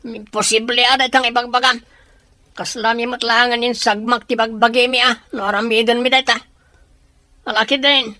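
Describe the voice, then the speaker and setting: Blunt, stubborn follower, played by a woman, tense and sharp, close dry sound, amateur drama. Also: a little high, flat delivery, moderate pace, no room sound. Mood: dismissive, stubborn.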